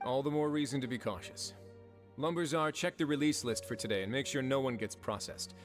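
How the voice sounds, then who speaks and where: A man's voice: medium pitch, soft background music, natural voice. Man playing a composed, commanding game duke. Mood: wary, dry. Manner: measured, formal, commanding. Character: composed, authoritative male duke running a prison. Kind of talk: video game dialogue.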